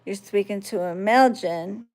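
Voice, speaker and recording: A woman's voice: medium pitch, moderate pace, a little mellow. A woman from the United States, casually talking to her viewers and often checking that they follow along. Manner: conversational, checks listeners understand. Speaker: spiritual channel host.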